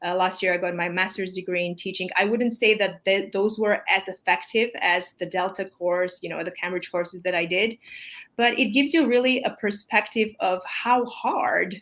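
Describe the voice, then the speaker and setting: A woman's voice: medium pitch, moderate pace, a bit bright. A woman in her thirties with Armenian as her first language, hosting a casual live chat in a chatty, filler-heavy way. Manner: chatty, apologetic, with many fillers. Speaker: English teacher, host.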